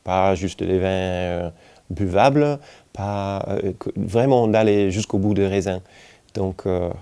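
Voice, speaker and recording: A man in his forties from the United States with an American accent, speaking haltingly and searching for words in an interview. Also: sits low, warm tone, clear, resonant tone. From United States, Kentucky. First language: English. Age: 40s. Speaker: winemaker. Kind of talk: relaxed documentary interview, telling life story.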